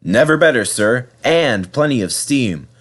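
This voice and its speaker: Male engine driver, acted by a storyteller: hearty, friendly, encouraging, medium pitch, fond of his engine. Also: animated, a little slow. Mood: confident.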